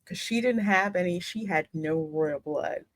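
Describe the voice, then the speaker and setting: A woman's voice: lowish voice, unhurried, no echo. A woman hosting a gaming commentary, emphatically theorizing aloud about the story as she reacts. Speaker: gaming host.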